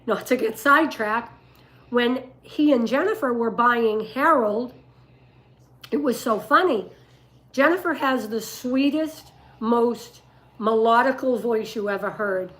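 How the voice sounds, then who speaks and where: An older woman with a rough voice, telling stories and giving advice casually on a livestream, in an emphatic, deliberate way. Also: on the high side, fairly bright tone. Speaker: dog breeder and livestream host.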